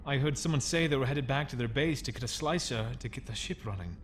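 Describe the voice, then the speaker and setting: A man playing a nervous, apologetic, cowardly freighter crewman in a sci-fi audio comic, hesitant and halting. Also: medium pitch, slight background noise, close to an everyday voice. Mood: frightened, ashamed. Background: music.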